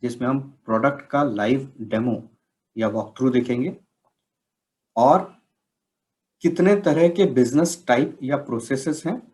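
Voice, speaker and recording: A man with a medium-pitched voice presents a scripted training session. He speaks slowly and explains in measured phrases, setting out each point in turn. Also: darkish tone. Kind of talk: scripted instructional presentation with slides.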